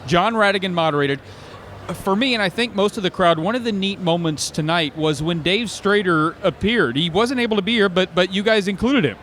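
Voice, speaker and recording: A man's voice: high-pitched, fairly quick, a bit bright, a little hiss or hum. A man hosting an on-location interview, introducing his guest, summing up and asking questions in a conversational way. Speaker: interviewer, host.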